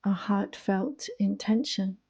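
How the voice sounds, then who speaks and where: A woman guiding a yoga nidra relaxation in a soothing, hushed, unhurried manner, close to the microphone. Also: mid-range voice, slightly rough, no echo, lo-fi sound. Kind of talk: guided relaxation meditation.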